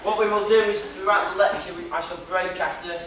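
A man's voice: high voice, fairly even intonation, a little fast, crowd noise. A young man from Wales with a Welsh accent, giving a theatrical, joking history lecture to an audience. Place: lecture hall with projection screen. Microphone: far camera. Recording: echoey hall, distant camera, noisy old video.

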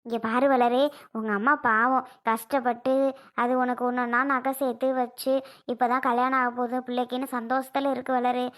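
Casting: woman as practical, anxious village girlfriend of a bride, high-pitched, southern Tamil Nadu accent, coaxing firmly, family drama. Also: little pitch movement, normal speed, a bit bright, close to an everyday voice. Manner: coaxing, admonishing. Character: worried, practical female friend of the bride.

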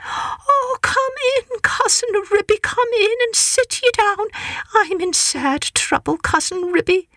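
A woman voicing a tearful, fretful mother cat in a storybook reading, pitching her voice high and weepy. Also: expressive, takes it slowly, bright, forceful tone, no room sound, studio quality, heavily acted.

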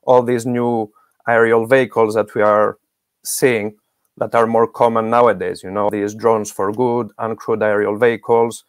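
A man's voice: on the low side, level tone, normal speed, smooth, dry recording, clean studio sound. A middle-aged man giving explanatory interview answers as a guest expert on a video call. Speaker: guest expert, academic researcher.

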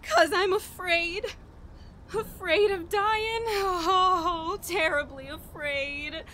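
An actress playing a frantic, haunted woman on the edge of madness in a horror radio play, halting and overwrought. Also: extremely high pitch, steady tone, pushed and bright, a little hiss or hum, full character voice. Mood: desperate, afraid. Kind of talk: 1940s radio horror acting, dramatic monologue.

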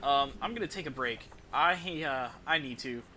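A man's voice: medium pitch, moderate pace, bright, forceful tone. A young man giving casual, informal gameplay commentary as a streamer, thinking aloud. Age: young adult. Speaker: game streamer. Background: game music.

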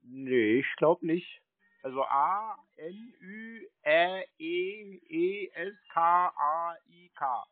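A man's voice: on the high side, drawn-out words, no echo. A man on a phone call who acts obtuse and confused, asking question after question. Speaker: scam-baiter playing a called customer. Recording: narrowband phone line.

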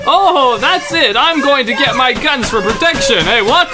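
A woman's voice: high voice, lively intonation, moderate pace, heavy background noise. A woman playing an eccentric, fussy but unflappable sidekick with a quizzical verbal tic in a comedic audio drama.

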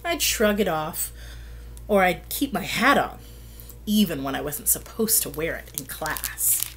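A middle-aged woman reading a children's picture book aloud, with expressive, deliberate storytelling and drawn-out phrases. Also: deep voice, slight background noise. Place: small plain room indoors.

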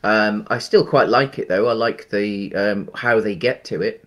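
Man in his 30s, fairly low voice, halting with ums, casual chat, headset on a video call. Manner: hesitant, many ums and restarts. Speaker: podcast co-host. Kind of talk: casual film discussion. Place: small room at home.

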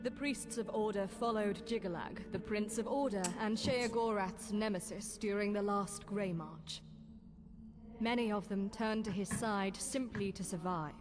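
A woman playing a solemn, loyal demon warrior in scripted game dialogue, measured and formal. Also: mid-range voice, music in the background, close to an everyday voice.

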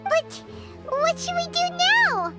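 A woman voicing a tiny, naive, excitable female fairy companion in a video game, with a squeaky childlike voice and exaggerated, fretful delivery. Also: takes it slowly, full character voice. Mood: puzzled, fretful. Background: prominent background music.

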